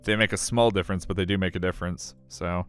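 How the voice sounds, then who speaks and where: A man's voice: low-pitched, fairly even intonation, quick pace, fairly bright tone, faint music behind. A man, casually commenting on and narrating a game in an informal, rambling way. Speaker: streamer reading a visual novel.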